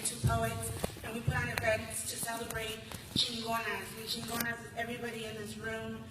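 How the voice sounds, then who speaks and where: An American woman performing spoken word poetry live with expressive, emphatic delivery into a stage microphone. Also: fairly high pitch. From Los Angeles, United States. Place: large hall at a conference ceremony. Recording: echoey hall, distant, faint noise.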